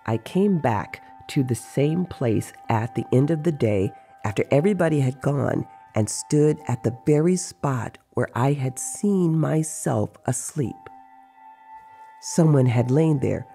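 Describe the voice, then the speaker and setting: Slow, gravelly male storyteller. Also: medium pitch, dark tone. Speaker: narrator. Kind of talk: dramatized literary narration.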